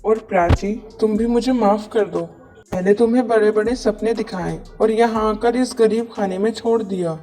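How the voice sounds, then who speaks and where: Woman playing an earnest young son, mellow voice. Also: mid-range voice, moderate pace, soft background music, lightly acted. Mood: apologetic. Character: young adult son, loving, dutiful, dismayed by poverty. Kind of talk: dramatized Hindi moral cartoon story.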